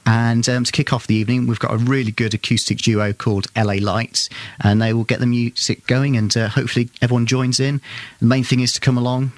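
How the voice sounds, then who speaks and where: A man's voice: mid-range voice, level tone, a little fast, no echo. A man chatting casually as a guest in a radio interview. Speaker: interview guest, amateur band keyboardist. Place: radio studio. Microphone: close studio microphone.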